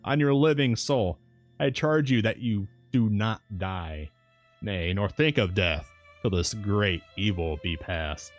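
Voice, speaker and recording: A man's voice: on the low side, slow pace, faint music behind. A man reading aloud and voicing a solemn elderly professor in a storybook, with formal, old-fashioned speech. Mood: solemn pleading. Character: solemn elderly male professor, Van Helsing.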